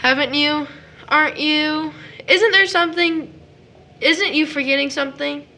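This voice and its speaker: A woman's voice: high voice, pushed and bright, a little hiss or hum, exaggerated voice. A woman playing an affectionate, cheeky little boy of about seven in an audio drama, with childish, coaxing speech. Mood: cheeky, playful. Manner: childish grammar and deliberate, coaxing delivery. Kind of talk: stage-style audio drama acting.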